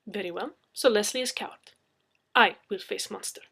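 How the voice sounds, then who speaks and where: A woman's voice: medium pitch, normal speed, vocal fry, pushed and bright, husky, heavily acted. Woman voicing a proud, blunt foreign heroine. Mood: firm, scolding. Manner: broken English, dropped articles, blunt. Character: proud, bold foreign woman, scolding and fearless. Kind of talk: dramatic audiobook character reading.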